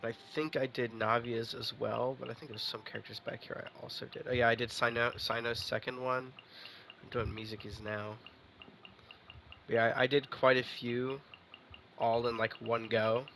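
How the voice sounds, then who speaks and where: A man's voice: medium pitch, little pitch movement, normal speed, creaky, a bit bright. A man giving casual, chatty gameplay commentary as a streamer. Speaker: game streamer. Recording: faint game noise behind.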